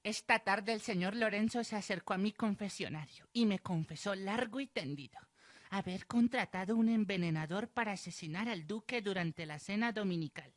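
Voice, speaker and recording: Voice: mid-range voice, moderate pace, dry recording, studio quality, close to an everyday voice. A performer playing a pious, solemn village accuser denouncing sinners in a radio drama. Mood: grave.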